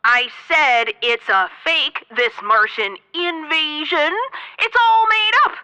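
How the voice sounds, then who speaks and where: A woman's voice: high-pitched, takes it slowly, pushed and bright, heavily acted, low-quality recording. An actress playing a stubborn, skeptical woman phoning a radio call-in in a comedic radio drama, blunt and dismissive. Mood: stubborn disbelief.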